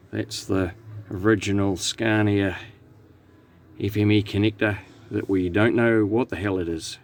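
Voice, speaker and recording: A man with a New Zealand accent giving a casual, matter-of-fact hands-on walkthrough as a technician. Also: fairly low pitch. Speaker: radio technician narrating own repair video. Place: in and around a truck cab. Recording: clear background noise, vehicle ambience.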